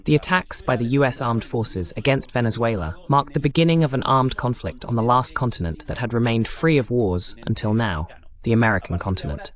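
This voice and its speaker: A man hosts a current affairs talk in a measured, formal way. His voice sits at an ordinary pitch and moves up and down in a lively manner, like an announcer introducing and steering a discussion. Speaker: host. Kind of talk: scripted introduction and moderating questions, translated voice-over.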